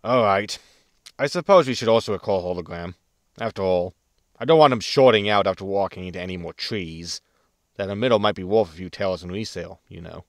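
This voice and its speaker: An actor playing a blunt, impatient spaceship captain in a sci-fi comedy audio drama, talking casually. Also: male voice, deep voice, fairly quick, no echo, studio quality. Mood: laid-back.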